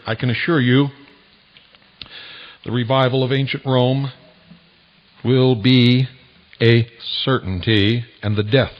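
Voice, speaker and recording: An American man hosting a talk radio monologue, speaking deliberately with dramatic pauses and a portentous, preaching manner, on an old shortwave recording. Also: medium pitch, muffled recording. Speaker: radio show host. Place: radio broadcast.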